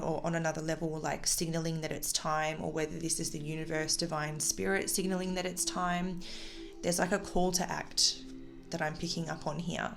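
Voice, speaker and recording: A woman's voice: deep voice, creaky. A woman giving a casual, intuitive tarot reading to viewers, talking in a tentative, reflective way with trailing phrases. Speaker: tarot reader and channel host.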